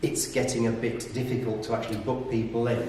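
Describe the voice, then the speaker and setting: A man's voice: mid-range voice, flat delivery, quick pace, a little mellow. Man in a very echoey room. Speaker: property business operator giving tips. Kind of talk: conversational explaining. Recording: echoey room, distant mic, faint noise.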